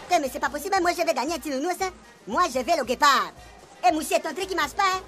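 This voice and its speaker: A woman's voice: high-pitched, expressive, very fast, a bit bright, faint music behind, pitched above the performer's own voice. A woman voicing an impatient grown man at a fair who swears and complains. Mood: frustrated.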